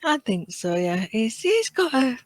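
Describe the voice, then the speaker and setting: A woman's voice: fairly high pitch, big pitch swings, a little slow. A woman talking casually as a fan in a podcast discussion. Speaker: podcast guest or co-host.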